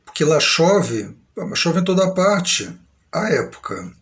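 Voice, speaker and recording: A man's voice: on the high side, sing-song, slow. Grandiose rambling São Paulo man. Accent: São Paulo Brazilian Portuguese. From Brazil. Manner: grandiose, aggrieved, meandering with uneven pace. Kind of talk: rambling monologue to camera.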